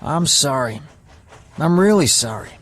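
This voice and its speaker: An actor playing a brash, streetwise young drifter in an audio drama, blunt and needling. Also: male voice, fairly high pitch, lively intonation, unhurried. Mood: sympathetic.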